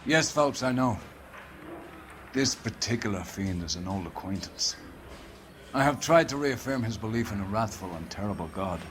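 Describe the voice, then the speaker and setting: Stern, low-voiced police captain. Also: male voice, lively intonation, normal speed, a bit bright, slight background noise. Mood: menacing. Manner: grandiose, formal, menacing. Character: stern, menacing police captain with righteous wrath. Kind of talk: cinematic video game acting.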